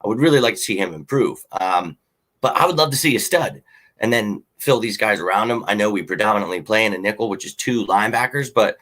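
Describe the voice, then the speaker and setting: A man with a fairly low, slightly hoarse voice talks sports in a casual, rambling way. He hosts a fan show and drifts between opinions with plenty of ums and uhs. He sounds like a fan, opinionated and unpolished. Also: fast, a bit bright, rough recording. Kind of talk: casual sports talk with viewer comments.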